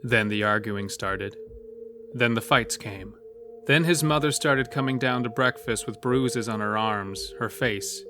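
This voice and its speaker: A man's voice: medium pitch, a little slow. A man narrating a scripted horror story as the storyteller of an audio drama. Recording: music bed under the voice. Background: loud music.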